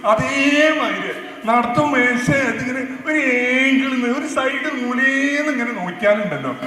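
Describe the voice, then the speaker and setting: A man's voice: very high-pitched, lively intonation, a little slow, bright, forceful tone, full character voice. A man with a Malabar Malayalam accent playing a boastful, bossy senior air traffic officer on a comedy stage, bragging and stammering over repeated words. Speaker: skit actor. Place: stage in a large hall. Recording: echoey hall, faint noise.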